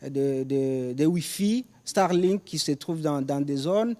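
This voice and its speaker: A man's voice: a little high, slow pace, dark tone. A man from DR Congo with a Congolese French accent, answering interview questions on current affairs and often repeating words as he searches for phrasing. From DR Congo, Rutshuru in North Kivu.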